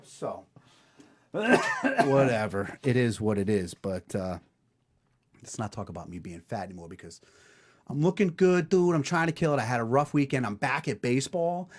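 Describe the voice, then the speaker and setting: Animated man in his forties, lively pitch. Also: normal speed, pauses often, slight background noise. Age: 40s. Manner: animated storyteller, puts on voices. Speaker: podcast co-host. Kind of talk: casual comedic chat.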